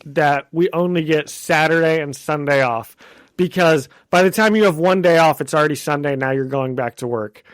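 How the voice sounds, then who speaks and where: Man, fairly high voice, recovering from a cold, slight cough, chatty, dry close mic. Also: normal speed, no room sound. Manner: chatty, laughs often. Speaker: podcast co-host. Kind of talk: casual chat.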